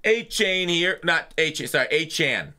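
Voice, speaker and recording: A man's voice: a little high, big pitch swings, a little slow, sharp tone. A man hosting a gaming channel, talking through the news in a casual, off-the-cuff way with blunt, slangy opinions.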